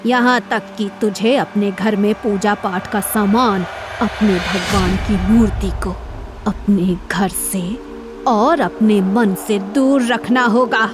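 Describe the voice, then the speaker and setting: A woman voicing a sinister, commanding old woman in a horror cartoon story, speaking with slow menace. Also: mid-range voice, steady tone, background noise, natural voice. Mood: menacing, commanding.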